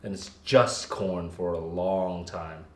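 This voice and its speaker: A man's voice: low-pitched, steady tone, takes it slowly. A middle-aged man co-hosting a podcast, joking and rambling with plenty of laughs. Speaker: podcast co-host. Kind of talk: casual podcast chat. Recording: echoey room, faint noise.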